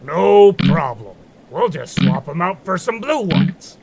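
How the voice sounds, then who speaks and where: A man's voice: sits high, very animated, slow pace, creaky, darkish tone, slightly rough, exaggerated voice. A man playing a laid-back, reluctant but kindly young magician in an audio drama, casual and wry. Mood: easygoing.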